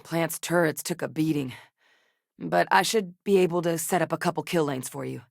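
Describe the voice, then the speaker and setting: A composed, tactical female officer from a sci-fi video game. She talks in a low register, measured and matter-of-fact. The sound is dry and close. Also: vocal fry, no echo. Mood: calm. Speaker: game character. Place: recording studio.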